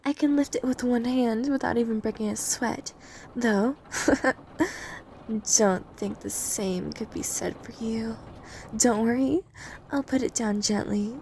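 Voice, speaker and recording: Woman as a female vampire, ASMR roleplay, mellow, creaky, coy, eerily polite, softly teasing, obsessive. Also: a little high, a little hiss or hum. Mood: smug, playful. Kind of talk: scripted ASMR roleplay addressed to the listener.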